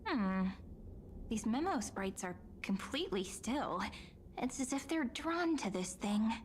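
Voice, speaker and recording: A woman voicing a young, plucky, curious game heroine who muses aloud in an expressive manner. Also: a little high, slow pace, a little hiss or hum. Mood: playful, puzzled. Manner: expressive, musing aloud to herself.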